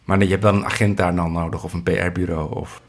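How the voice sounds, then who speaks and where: A man's voice: deep voice, level tone, quick pace. A man interviewing someone casually, asking informal, direct questions.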